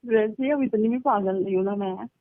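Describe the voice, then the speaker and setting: Woman chatting casually on the phone telling her own stories, thin tinny call audio, medium pitch. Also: normal speed, dry recording. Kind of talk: casual phone chat, recounting personal stories. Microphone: telephone line. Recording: tinny, band-limited phone call recording.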